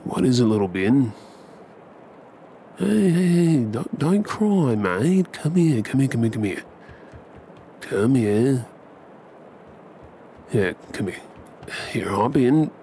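An Australian man performing an ASMR bedtime roleplay as an affectionate, cheeky young husband and dad, coaxing and hushed. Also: medium pitch, big pitch swings, slow pace, pauses often, slight background noise. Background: ambient sound effects.

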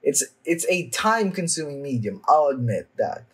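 A young man giving casual, off-the-cuff reaction commentary in a halting way, trailing off mid-thought. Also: fairly high pitch, very animated, slightly rough. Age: young adult. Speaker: anime reaction vlogger. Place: small home room, indoors.

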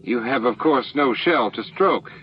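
A man's voice: medium pitch, lively intonation, unhurried, pitched above the performer's own voice, natural voice. Guy doing an earnest alien hive elder, formal n emphatic, lo-fi audiobook. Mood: earnest gratitude. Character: grateful, earnest alien hive master, shaken but resolute. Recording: dull, noisy lo-fi book-on-tape recording.

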